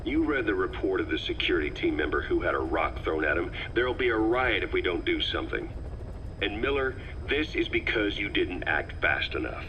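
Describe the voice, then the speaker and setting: A very low, hard-edged military officer in a video game drama. He is stern and suspicious, giving clipped, commanding words. The voice comes over a dull radio channel with a faint hiss behind it. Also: sing-song, brisk, bright, forceful tone, full character voice. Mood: accusing.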